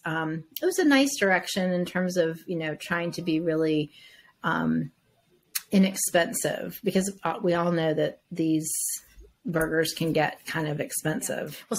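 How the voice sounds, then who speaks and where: A woman co-hosting a podcast in casual chat, rambling with restarts and asides. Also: on the low side. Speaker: podcast co-host.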